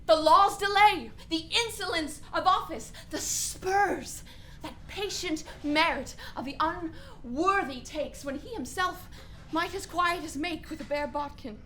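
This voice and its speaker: A woman playing an anguished, brooding young prince in a Shakespearean radio play, speaking in halting, deliberate soliloquy. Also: extremely high pitch, sharp tone, slight background noise, full character voice. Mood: brooding, despairing.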